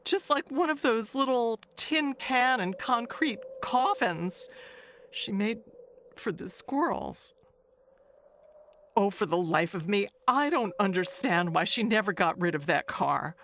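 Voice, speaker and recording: An actress plays a lonely, nervous neighbor woman of middle age in an audio mystery play. She talks very slowly and haltingly, with pitch that swings about and trails into hushed musing. There is faint noise behind her. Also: lively intonation. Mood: wistful gossip.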